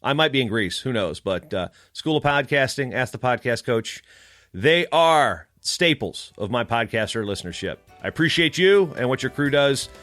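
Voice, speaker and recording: Man, medium pitch, sarcastic edge, punchy emphasis, conversational podcast rant, dry room. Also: lively intonation, normal speed, a bit bright, no room sound, soft background music. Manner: snarky, emphatic. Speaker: wrestling podcaster. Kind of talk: casual storytelling rant with read-out email.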